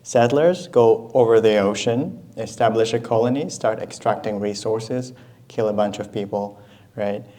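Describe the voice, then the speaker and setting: A man's voice: on the low side, measured pace, warm tone. A young man from Ukraine whose first language is Russian, giving a conversational university lecture with slides and often checking in with the audience. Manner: conversational, asks the audience questions, frequent "right?". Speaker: guest lecturer.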